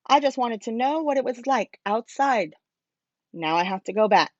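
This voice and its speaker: A woman's voice: a little high, lively intonation, normal speed, dry recording, highs cut off. A woman voicing a friendly, curious young male seal in a children's storybook, polite and eager.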